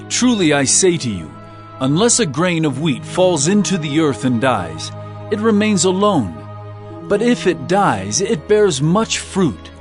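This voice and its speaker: Earnest bible savior, solemn n emphatic, higher voice, music behind him. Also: male voice. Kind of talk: dramatized audio Bible acting.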